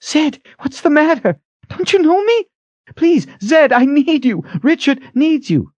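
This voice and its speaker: Man voicing a high-pitched, frantic young woman. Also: lively intonation, measured pace, a little mellow, husky, no room sound, highs cut off, heavily acted. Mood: frantic pleading. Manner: breathless, urgent pleading. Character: frantic, pleading young woman with short hair. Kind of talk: dramatic audiobook character reading.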